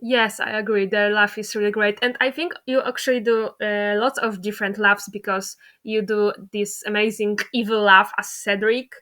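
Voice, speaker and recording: A woman's voice: medium pitch, little pitch movement, normal speed, few pauses, a bit bright. A woman hosting a casual interview, talking in an enthusiastic, effusive way. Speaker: interviewer, fandub creator.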